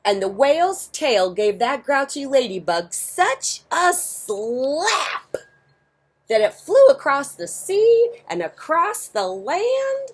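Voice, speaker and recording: A woman hosting a children's story time, reading picture books aloud in a theatrical, emphatic way with swells and hushes. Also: sits high, sing-song, takes it slowly. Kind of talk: expressive picture-book read-aloud. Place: outdoors on a porch.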